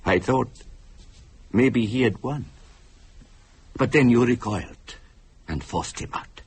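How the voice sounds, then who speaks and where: An actor playing a grave older spirit medium in a supernatural radio drama, with a rough husky voice and formal, solemn phrasing. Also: male voice, mid-range voice, slow pace, heavily acted. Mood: grave, regretful. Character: grave older male spirit medium, Mister Asif, weary and intense.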